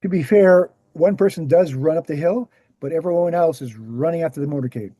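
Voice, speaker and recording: Man acting earnest male researcher, very soft dark tone, slightly high pitch, measured explanations, screenplay table read, dry close sound. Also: no echo, lightly acted. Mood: assertive. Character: Gary, earnest male presenter, calm debunking researcher.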